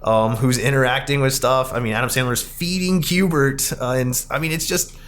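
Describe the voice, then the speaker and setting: A man hosting a casual movie discussion podcast, talking in an animated, emphatic, rambling way. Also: medium pitch, normal speed. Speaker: podcast host.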